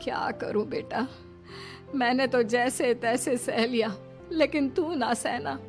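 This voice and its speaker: Woman acting a plaintive, long-suffering mother-in-law, high voice. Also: steady tone, slow pace, faint music behind. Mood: gentle, sorrowful. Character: long-suffering middle-aged mother-in-law, protective and pleading. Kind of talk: dramatised Hindi moral story.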